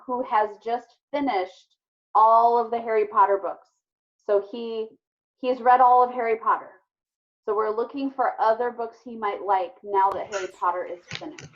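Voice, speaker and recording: A woman with a fairly high-pitched voice leads a children's online class. She speaks as an encouraging teacher who affirms what she is told. The sound is slightly muffled and lo-fi, as on a video call.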